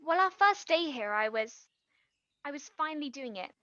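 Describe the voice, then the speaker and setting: An actress playing an earnest, tender younger woman in a stage play, speaking haltingly and trailing off. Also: sits high, animated, pushed and bright, no room sound, dull audio. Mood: wistful, gentle. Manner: halting, trailing off mid-sentence. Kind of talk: stage play acting, quiet two-hander.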